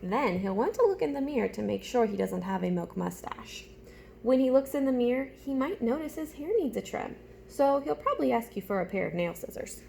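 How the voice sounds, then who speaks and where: A woman with a fairly high voice and clear vocal fry speaks as a teacher to young children. She reads storybook-style and breaks off for simple questions and instructions. There is noticeable background noise on the recording. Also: expressive, fairly quick, darkish tone. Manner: addresses children directly with simple questions and step-by-step prompts. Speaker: teacher reading aloud to pupils. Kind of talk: storybook read-aloud with chatty asides and instructions for children.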